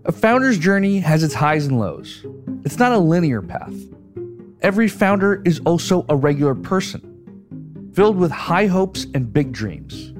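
Brief: male host, scripted narration, wry tone, fairly high voice, clear guitar music underneath. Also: very animated, measured pace. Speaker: podcast host.

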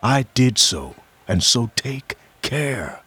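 An actor playing a jealous, suspicious army general in a radio play, speaking slowly and intensely, with sudden whispers and shouts. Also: male voice, medium pitch, very animated, slightly rough, exaggerated voice. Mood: solemn.

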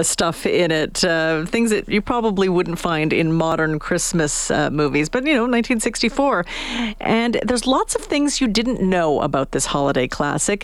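A woman's voice: fairly low pitch, no room sound. A woman chatting casually as host of a live radio interview, close on a studio microphone. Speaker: radio morning show host.

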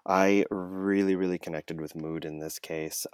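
A man's voice: deep voice, steady tone, unhurried, smooth, dry recording, clean studio sound. A man speaking as an interview guest, an audiobook narrator talking conversationally and enthusiastically, stretching words for emphasis.

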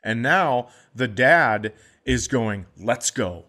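A middle-aged man, a comedian co-hosting a podcast, telling stories in a casual, animated way and acting out the people in them. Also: mid-range voice, slow. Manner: animated, acts out voices in his stories.

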